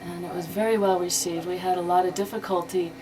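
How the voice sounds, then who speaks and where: A woman's voice: on the low side, little pitch movement, fast talker. A woman speaking conversationally as a charity organizer being interviewed as a guest on the radio. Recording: clearly noisy radio interview recording.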